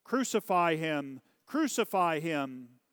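A man voicing an angry, accusing mob of priests and townsmen in a scripture story, crying out forcefully. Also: fairly high pitch, clear, resonant tone, no echo, clean studio sound. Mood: furious. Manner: loud, forceful, drawn-out crying out.

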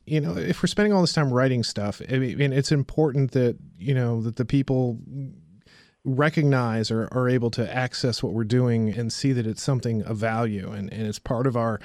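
A man's voice: medium pitch, darkish tone, no room sound. A man, hosting a podcast in a conversational interviewing style. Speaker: podcast host. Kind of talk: conversational interviewing and show outro.

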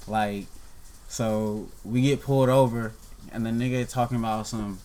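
A young man in casual conversation, telling a story in a relaxed way with many fillers. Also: medium pitch, unhurried. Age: young adult.

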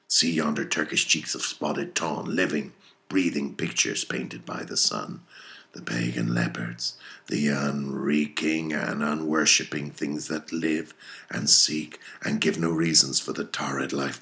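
An obsessed old sea captain, extremely deep and creaking. He speaks slowly in grand, archaic phrases, commanding and defiant, as in a dramatic audiobook. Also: bright, forceful tone, full character voice. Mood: soothing, sly. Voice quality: gravelly. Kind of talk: dramatic audiobook character reading.

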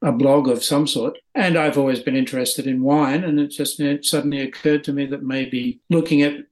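A man's voice: mid-range voice, fairly even intonation. An older man with an Australian accent, a retired scientist talking plainly and modestly as a podcast guest. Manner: measured, plain-spoken, self-deprecating. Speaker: guest, wine data blogger. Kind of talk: casual interview talk.

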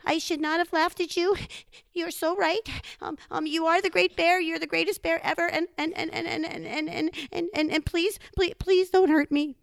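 A woman's voice: sits high, fairly even intonation, normal speed, vocal fry, no echo, studio quality, voice pushed up. A woman voicing a small, nervous chipmunk in a live story, stammering and repeating itself. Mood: panicked, pleading. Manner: stammering, repetitive pleading.

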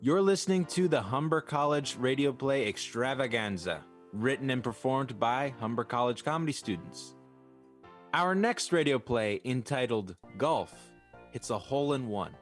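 A man's voice: mid-range voice, expressive, moderate pace, faint music behind. A man reading the credits and introductions as the show's announcer. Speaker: show announcer. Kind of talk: scripted announcement.

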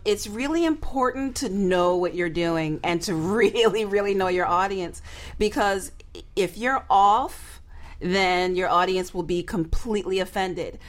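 A woman speaking as a marketing expert on a talk show, emphatic and playful, repeating words to drive points home. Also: mid-range voice. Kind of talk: lively talk show discussion.

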